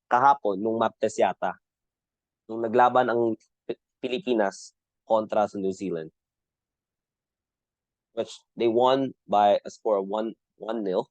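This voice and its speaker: A man talks through the sports news on his own show, earnest and halting, often circling back to restart a phrase. His voice sits on the low side. The sound is dry, with no room echo. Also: darkish tone, lo-fi sound. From Philippines. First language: Tagalog. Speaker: podcast host. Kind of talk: solo sports commentary.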